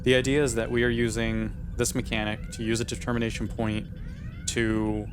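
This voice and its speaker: A man's voice: medium pitch, flat delivery, normal speed, background noise. A man playing a tabletop role-playing game, talking casually about strategy with the other players. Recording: clear music behind the voice.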